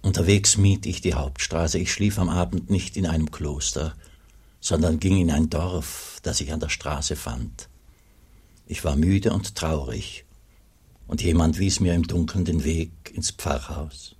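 Sad tired monk telling his story, really deep raspy-husky voice, subdued, radio play narration. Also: a little slow, a little mellow, heavily acted. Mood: weary, sorrowful. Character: weary, sorrowful monk recounting his own pilgrimage. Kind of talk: scripted first-person radio drama narration.

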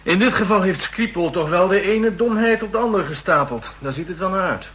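An actor playing a blunt, commanding army general who speaks Netherlands Dutch in a 1960s radio drama. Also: male voice, high-pitched, animated, brisk, a bit bright, low-quality recording. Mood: puzzled. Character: forceful, straight-thinking male army general questioning subordinates.

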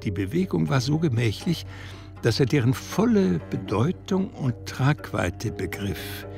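A man narrating a literary radio play in a measured, dramatic storytelling manner. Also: mid-range voice, sing-song, gravelly, a little mellow. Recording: studio production with music underneath. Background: music.

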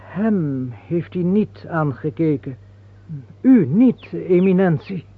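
An actor playing a nervous, suspicious baron, a servile and wary courtier in a radio drama. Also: male voice, fairly high pitch, lively intonation, takes it slowly, full character voice. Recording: muffled, phone-like old radio play recording.

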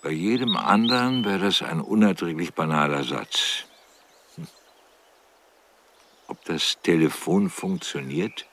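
An actor playing a sardonic, bookish, world-weary man with a gravelly voice and a wry, brooding manner in a radio drama. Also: low voice, fairly bright tone, a little hiss or hum, heavily acted.

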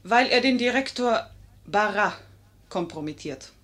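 A woman's voice: mid-range voice, lively intonation, unhurried, a bit bright. An actress playing a clever, proud, passionate lady in a 1950s German radio play, with a theatrical, eloquent and pointed way of speaking. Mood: urgent, sincere.

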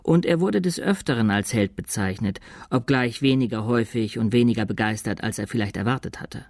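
An actor playing a sober chronicler, narrating a radio drama in a measured, detached, dryly ironic way. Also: male voice, medium pitch, darkish tone, dry recording, studio quality, close to an everyday voice. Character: sober male chronicler reporting an outlaw's aftermath.

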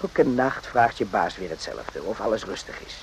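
An actor in a radio play voicing a brooding, rambling soldier who muses haltingly and repeats himself. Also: male voice, fairly low pitch, big pitch swings, a little hiss or hum, poor audio. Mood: brooding, uneasy. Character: brooding, rambling soldier, former circus night watchman.

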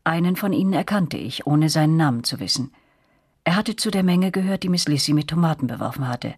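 An actress playing a first-person storyteller, narrating tense events in a German radio drama. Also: female voice, low-pitched, expressive, fairly quick, darkish tone, husky, heavily acted. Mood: recounting. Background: music.

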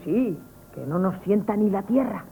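A woman's voice: medium pitch, expressive, moderate pace, vocal fry, mellow, slight background noise, poor audio. An actress with a Spanish accent playing a feisty, conspiratorial young working-class woman in a comic radio play. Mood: indignant, secretive. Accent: Spanish, from Spain. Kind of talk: 1970s Spanish radio comedy theatre acting.

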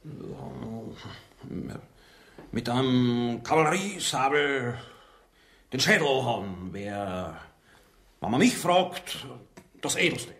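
A man's voice: medium pitch, animated, very slow, gravelly, long pauses, a bit bright, exaggerated voice. A man playing a deferential, aloof army captain who speaks formally and hesitantly in a farcical radio play. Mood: formal, measured.

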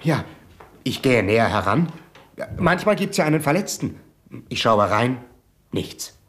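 A stammering village poacher. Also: male voice, mid-range voice, very animated, measured pace, fairly bright tone. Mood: flustered. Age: 30s. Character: nervous 33-year-old village poacher, folksy, evasive. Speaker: suspect under questioning. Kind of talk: 1970s radio crime drama acting.

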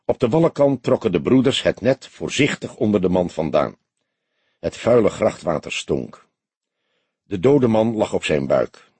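A man's voice: on the low side, sing-song, normal speed, a little mellow, breathy edge, no room sound. A man narrating a scripted crime story as an audiobook reader.

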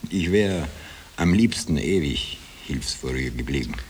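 A man's voice: rumbling low, lively intonation, a little slow, darkish tone, slight background noise. An actor narrating a radio drama as a wry Austrian everyman, confiding his story with self-deprecating irony. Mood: wry, resigned. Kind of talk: first-person narration in 1960 radio drama.

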